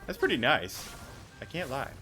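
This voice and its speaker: A man's voice: mid-range voice, very animated, moderate pace, over music. A young man giving casual gameplay commentary, rambling and thinking aloud in slangy, sweary speech. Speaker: gaming YouTuber.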